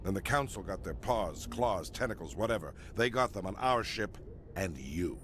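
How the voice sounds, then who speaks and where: A stern, middle-aged admiral from a sci-fi game, blunt and grumbling. His lowish voice swings widely in pitch as he disapproves. There is noticeable background noise around him. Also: expressive, moderate pace, fairly bright tone. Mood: stern, disdainful. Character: gruff, disapproving senior space navy admiral inspecting a warship. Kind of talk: video game voice acting.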